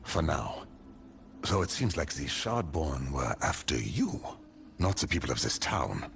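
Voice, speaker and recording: A man's voice: very deep voice, sing-song, a little slow, gravelly, slight background noise, exaggerated voice. A man voicing a calculating, solemn military leader in a video game. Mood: cool, calculating.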